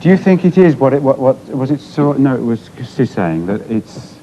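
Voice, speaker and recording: A man hosts a studio talk show, probing his guests with leading questions. His voice is dark and mellow. It comes through a dull, lo-fi television recording. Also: medium pitch, very animated, normal speed, a little hiss or hum.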